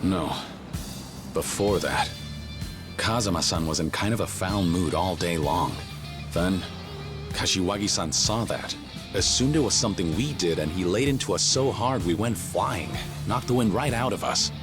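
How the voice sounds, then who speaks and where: A stoic young yakuza brawler in a game dub, with a very deep, low-pitched voice. He speaks in dry, clipped, understated lines. Game music plays clearly behind him. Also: animated, moderate pace. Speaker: game character. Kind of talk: English video game dub. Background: game soundtrack music.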